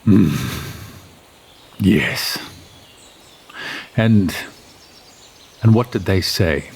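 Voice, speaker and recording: A man playing a brooding, fiery adventurer in a fantasy audio drama, with a husky voice and a hesitant, halting manner. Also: low-pitched, darkish tone, a little hiss or hum, full character voice. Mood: brooding, wary.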